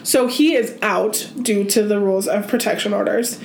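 A woman with a congested cold voice co-hosting a casual true-crime podcast, chatty and quick to laugh. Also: medium pitch, fairly even intonation, slight background noise. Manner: chatty, laughs easily, sings a line. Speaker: podcast co-host.

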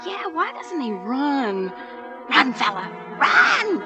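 Anguished woman, fairly high, dramatic swoops, music behind, 1940s radio drama. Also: wildly swooping pitch, takes it slowly, full character voice. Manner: anxious, intense.

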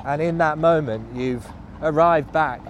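A man talking in a thoughtful, halting way, with frequent restarts, while walking outdoors. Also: a little high, dull audio, a little hiss or hum. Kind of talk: casual conversation. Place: walking outdoors by a cycle path in a city.

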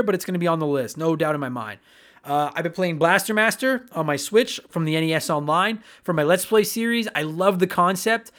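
A man with a high-pitched voice hosts a gaming podcast in relaxed, casual chat. He talks with eager enthusiasm and swears freely. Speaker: podcast host.

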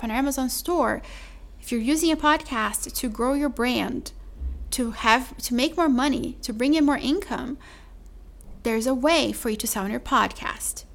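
A woman hosting her own podcast, talking chattily to the listener with a mellow voice and calling them "my love.". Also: fairly high pitch. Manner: chatty, calls listener "my love", says "like" often. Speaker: podcast host. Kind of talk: solo podcast sales pitch.

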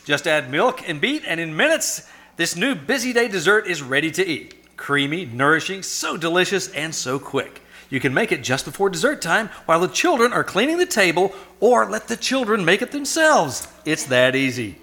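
A man's voice: high-pitched, very animated, normal speed, sharp tone, full character voice. A man playing a cheery 1940s radio announcer, reading scripted ads and narration with peppy, emphatic delivery. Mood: upbeat selling. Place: live stage performance at a winery.

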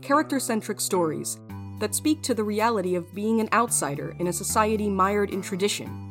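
A woman reads a scripted podcast introduction as one of its creators. Her voice is mid-pitched, with faint plucked guitar music behind it. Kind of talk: scripted narration.

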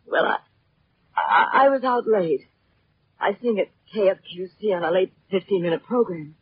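A woman's voice: medium pitch, lively intonation, moderate pace, husky. An American woman playing an anxious, world-weary singer under suspicion in a 1940s radio drama, hesitant and defensive. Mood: nervous, defensive. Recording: dull, muffled 1940s radio recording.

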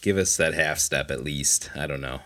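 Low deep-voiced guy on a gaming podcast, wry casual chat. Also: moderate pace, pushed and bright. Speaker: podcast co-host. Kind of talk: casual chat about video games.

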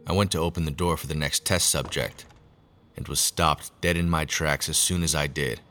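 Deep-voiced man narrating over music. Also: fairly even intonation, normal speed, sharp tone. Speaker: narrator, playing a scientist. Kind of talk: scripted first-person audio drama narration.

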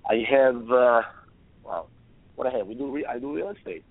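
Hoarse Italian man, guest on a call-in, dry tinny phone sound, rambling lists. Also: mid-range voice, moderate pace, no echo. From Italy. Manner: rambling, restarts, listing. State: hoarse, losing his voice. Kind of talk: interview answers.

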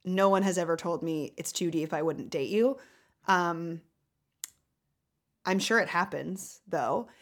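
A deep-voiced woman co-hosting an advice podcast, talking frankly in casual chat and laughing easily. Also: a little slow, pauses often. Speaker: podcast co-host.

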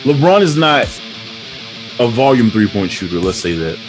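A man co-hosting a podcast in casual chat, blunt and profane with drawn-out reactions. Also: mid-range voice, sing-song, faint music behind. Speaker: podcast co-host.

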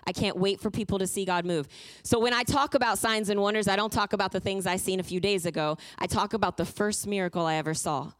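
A woman's voice: mid-range voice, quick pace, no echo. Fervent woman preaching, dry close sound. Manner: fervent, emphatic, storytelling preaching. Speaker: guest preacher. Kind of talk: sermon with personal testimony.

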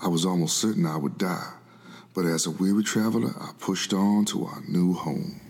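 An actor playing a devout, earnest preacher who escaped slavery, narrating his life in a gospel oratorio as a solemn, measured monologue. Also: low voice, darkish tone. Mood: weary. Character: formerly enslaved 18th-century preacher recounting his life, earnest, devout. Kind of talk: scripted first-person dramatic monologue.

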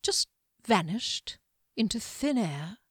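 A woman's voice: mid-range voice, very animated, slightly rough, dry recording, clean studio sound, voice pushed up, full character voice. A woman voicing a smug, menacing wizard. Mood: cold. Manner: slow, smug, quietly menacing. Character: sly, mocking male wizard, calm and menacing.

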